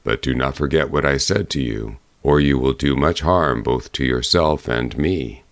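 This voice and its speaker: Guy doing a big grave fairytale bear, super low voice, commanding and careful, dry close sound. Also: dry recording. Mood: solemn warning. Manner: grave, measured, warning. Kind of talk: fairy-tale audiobook character voice.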